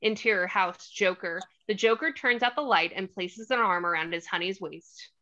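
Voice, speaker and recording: A woman's voice: mid-range voice, normal speed, sharp tone, muffled recording. A woman reading stage directions as scripted narration at a table read.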